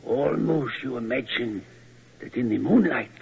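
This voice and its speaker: A cackling mad curator. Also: male voice, mid-range voice, creaky, over a noisy crowd. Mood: sinister courtesy. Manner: slow, halting foreign word order, cackling menace. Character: mad old German museum curator, sinister host turned gloating villain. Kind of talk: 1940s radio acting. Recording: dull old radio broadcast.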